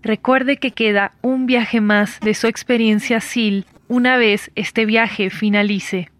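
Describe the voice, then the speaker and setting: Even-toned automated female assistant. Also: a little high, takes it slowly, dry recording. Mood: neutral, formal. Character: polite, impersonal female time-travel assistant machine. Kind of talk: scripted system announcement.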